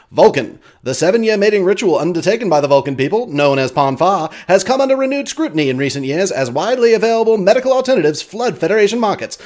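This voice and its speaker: A vintage radio news commentator, breathlessly fast and urgent. His clipped headlines swing up and down in pitch, with the punch of a 1940s newscast in a radio play. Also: a little mellow. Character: brisk hologram of an early twentieth-century radio news commentator.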